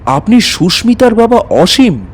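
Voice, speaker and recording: Actor as a animated, courteous tutor. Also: male voice, sits high, a little slow, exaggerated voice. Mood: uneasy, curious. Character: anxious, courteous male maths tutor recounting an eerie night. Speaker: narrator and lead character. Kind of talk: dramatic first-person ghost-story narration.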